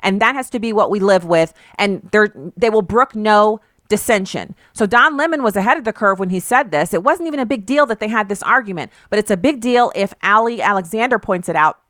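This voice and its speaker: A woman hosts informal political commentary. Her pitch is middling and the sound is dry, with little room around it. She phrases her opinions deliberately and with emphasis. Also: fairly bright tone, no room sound.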